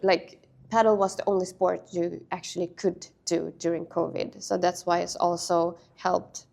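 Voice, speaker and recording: A young adult woman who grew up in Sweden, speaking as an interview guest in halting sentences full of fillers and restarts. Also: lowish voice, level tone, vocal fry, a little mellow. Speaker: interview guest, padel player. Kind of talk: casual interview.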